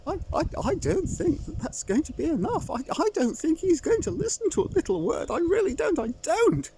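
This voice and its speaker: A man's voice: very high-pitched, big pitch swings, brisk, warm tone, slight background noise, voice pushed up, heavily acted. A man voicing a panicky female chicken who stammers in a fluttery way. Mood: worried. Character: flustered female chicken, sensible but panicky.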